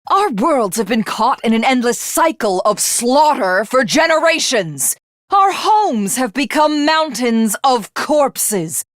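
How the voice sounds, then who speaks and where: A woman's voice: sits high, sing-song, slow pace, dry recording, clean studio sound, exaggerated voice. A woman voicing a haughty, ruthless alien villainess in a video game, with a commanding, imperious and grandiose delivery. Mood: grave.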